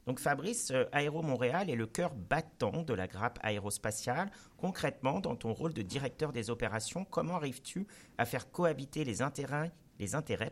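A man hosting a panel interview, moving between prepared questions and short conversational reactions. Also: mid-range voice. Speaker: host and moderator of a panel interview.

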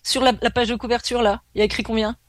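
A woman's voice: a little high, flat delivery, quick pace, no room sound. A woman in her sixties, an author, chatting frankly and laughing easily in an interview. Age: 60s. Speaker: author guest. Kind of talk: casual interview chat.

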